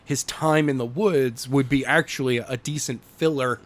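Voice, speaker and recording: A man's voice: medium pitch, steady tone, normal speed. A man co-hosting a podcast, chatting casually about books and storytelling. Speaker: podcast co-host and amateur narrator. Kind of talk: casual book discussion.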